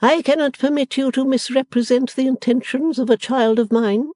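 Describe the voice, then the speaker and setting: A woman's voice: high voice, steady tone, mellow, no echo, clean studio sound, voice pushed up, natural voice. A woman voicing a stately, pompous older mother who speaks with grand, scornful solemnity. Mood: majestic. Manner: grand, solemn, slow declaiming.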